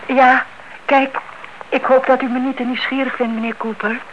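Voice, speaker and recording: An actress playing a nosy, suspicious older aunt, chatty and insistent, in a radio play. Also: female voice, high voice, level tone, moderate pace, close to an everyday voice. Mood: flustered, polite. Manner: chatty, insistent, inquisitive, repeats herself. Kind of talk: Dutch radio play acting. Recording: dull, noisy old radio-play recording.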